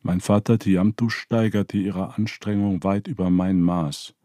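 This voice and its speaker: A man's voice: deep voice, fairly even intonation, unhurried, dark tone, no echo, studio quality. A man reading aloud, voicing Anu, an elder male god, shaken and apologetic, speaking in a subdued manner.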